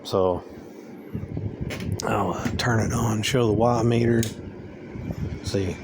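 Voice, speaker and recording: A man with a fairly low voice gives an informal, hands-on demonstration. He talks plainly and folksily, with loose everyday grammar. Steady background noise is clearly audible behind him. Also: slow. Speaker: hobbyist presenter demonstrating radio gear.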